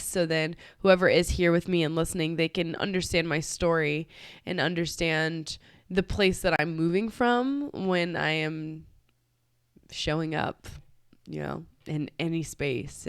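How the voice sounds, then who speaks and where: A woman's voice: low voice, clear, resonant tone, no echo, studio quality. A woman hosting a podcast, speaking casually and hesitantly with many fillers and restarts. She sounds nasal from a cold and talks from her room at home. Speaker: podcast host. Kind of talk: casual confessional podcast talk.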